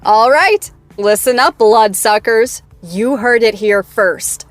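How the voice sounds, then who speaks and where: A woman's voice: fairly high pitch, slow pace, no room sound, soft background music. An actress playing a bubbly young talk show host who teases her guest and her fans in a playful, theatrical way. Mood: upbeat teasing.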